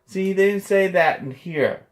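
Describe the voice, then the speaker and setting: A man's voice: high voice, unhurried. A man hosting a casual cooking video in a home kitchen, joking and chatty. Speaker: cooking vlog host.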